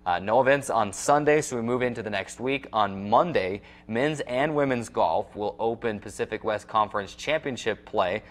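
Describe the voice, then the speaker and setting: Low-pitched young male sportscaster. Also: moderate pace, hardly any pauses. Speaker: sports show host and sportscaster. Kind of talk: sports recap presenting, semi-scripted. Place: small indoor TV studio at a desk.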